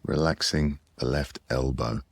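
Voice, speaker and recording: A man leading a guided relaxation meditation in a soothing, unhurried way with long pauses, close on the microphone. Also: extremely low pitch, fairly even intonation.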